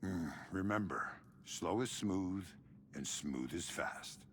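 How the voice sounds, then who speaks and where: An actor playing a calm, disciplined veteran covert operative in a video game, giving terse, measured tactical talk. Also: male voice, low voice, a little hiss or hum. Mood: calm, focused. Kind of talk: cinematic game acting.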